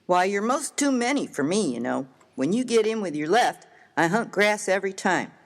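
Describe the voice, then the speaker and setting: A man playing a rough, hearty, good-natured American miner of the Old West in a dramatised story reading, with slangy, folksy, emphatic speech. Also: high-pitched, big pitch swings, normal speed, exaggerated voice. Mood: puzzled, folksy.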